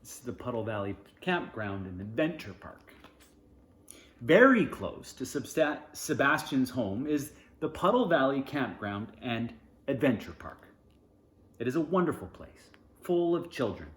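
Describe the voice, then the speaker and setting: A man's voice: medium pitch, very animated, measured pace, a bit bright. Man reading a storybook in an echoey room. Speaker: storybook reader. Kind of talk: children's storybook read-aloud with informal asides.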